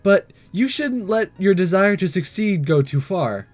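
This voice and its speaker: A man's voice: high voice, animated, unhurried, no room sound, soft background music. A man voicing a supportive, honest teenage student in a visual novel, plain-spoken and encouraging. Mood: reassuring, puzzled. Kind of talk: visual novel dialogue read aloud.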